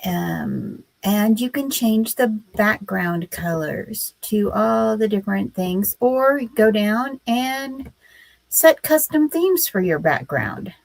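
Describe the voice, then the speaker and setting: A woman hosting a casual writing livestream, her voice scratchy from a cold, talking in a slow, halting way with many pauses. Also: mid-range voice, lively intonation, creaky, no room sound.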